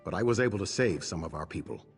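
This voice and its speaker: Deep, solemn fantasy protector. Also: male voice, animated, quick pace, vocal fry. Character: stern, protective male swordsman sheltering survivors, noble and weary. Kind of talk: fantasy video game character dialogue. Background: background music.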